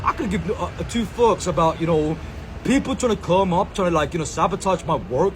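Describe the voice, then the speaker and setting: A man's voice: sits high, animated, a little fast. Rambling slangy man, street noise. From Sri Lanka. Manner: meandering slang-filled talk with many fillers and repeated catchphrases. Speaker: content creator. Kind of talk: rambling self-filmed monologue. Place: outdoors in a public space.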